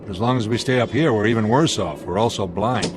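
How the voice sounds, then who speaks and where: Actor as low-voiced, commanding airline captain. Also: male voice, over music, close to an everyday voice. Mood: grave, decisive. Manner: authoritative, measured, wry. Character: steady, commanding male airline captain under pressure. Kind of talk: radio drama acting.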